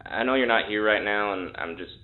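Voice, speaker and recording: Man as a deadpan sardonic guy over a tinny intercom. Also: fairly low pitch, fairly quick, close to an everyday voice. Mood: sheepish. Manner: deadpan, flippant, muttering. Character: sardonic, irreverent young male test subject. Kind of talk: audio drama acting. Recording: thin, phone-like intercom sound.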